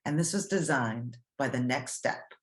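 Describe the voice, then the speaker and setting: A woman with a low voice gives a read-aloud lesson for young children. She takes her time, keeps explanations simple and puts questions to her listeners. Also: animated, unhurried. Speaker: coding teacher reading a story aloud.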